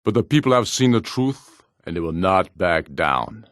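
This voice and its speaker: An actor playing a proud, commanding middle-aged rebel jarl in a fantasy video game, speaking in solemn, imperious, measured oratory. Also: male voice, deep voice, big pitch swings, no echo, clean studio sound. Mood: fervent, defiant.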